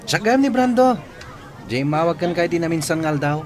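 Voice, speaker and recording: A man's voice: fairly high pitch, animated, normal speed, close to an everyday voice. A man playing a friendly, courteous young newcomer in an Ilocano radio drama, with market crowd noise behind him. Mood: polite, friendly.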